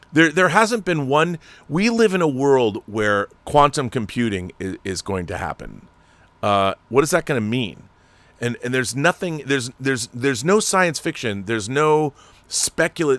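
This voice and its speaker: A man's voice: mid-range voice, fairly bright tone, no room sound. A man hosting his channel and reading viewer letters, ranting with exasperation and repeating words as he talks off the cuff. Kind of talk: unscripted commentary.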